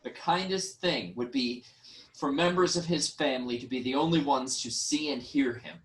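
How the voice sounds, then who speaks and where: A man playing a composed, authoritative royal in Greek tragedy, speaking formally and deliberately. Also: medium pitch, lightly acted. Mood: stern, restrained. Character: composed, authoritative male royal, measured and pragmatic. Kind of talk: theatrical Greek tragedy reading.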